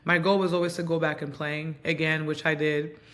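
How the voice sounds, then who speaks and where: A woman in her thirties from Montreal, whose first language is Haitian Creole, giving reflective, earnest interview answers with frequent pauses and ums. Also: sits low, level tone, a bit bright. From Montreal, Canada. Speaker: guest, basketball player and coach.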